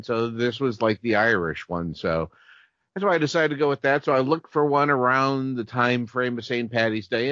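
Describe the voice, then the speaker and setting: A man's voice: mid-range voice, fairly bright tone, no echo. A man chatting casually as a podcast co-host. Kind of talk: casual chat. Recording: dull, lo-fi podcast audio.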